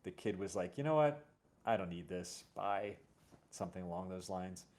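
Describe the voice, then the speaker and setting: A man's voice: deep voice, animated, moderate pace, darkish tone. A man hosting a casual conversation about music, talking in a rambling, self-correcting way. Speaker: host.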